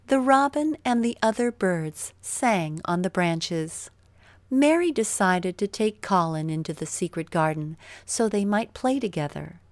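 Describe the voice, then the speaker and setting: Casting: female children's storyteller, slow delivery, lowish pitch with very animated melody, dry studio-close sound. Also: dry recording. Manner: expressive, deliberate storytelling. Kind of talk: scripted children's story narration.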